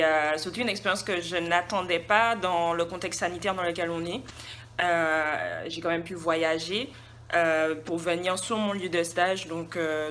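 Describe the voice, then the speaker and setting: A woman's voice: on the low side, a bit bright. A young woman from Guadeloupe, a student intern, giving an informal video testimonial. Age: young adult. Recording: noisy self-recorded video with background ambience.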